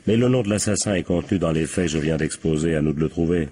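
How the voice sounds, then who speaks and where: An actor playing a shrewd, confident police captain in a French radio crime drama, methodical, probing and wryly polite. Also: male voice, very deep voice, quick pace, warm tone, slight background noise. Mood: confident, analytical.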